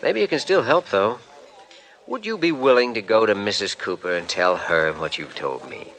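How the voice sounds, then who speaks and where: Brief: an earnest young doctor, low, bright, animated, faint music. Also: male voice, normal speed. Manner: earnest, gentle, persuasive. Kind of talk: 1950s radio drama acting.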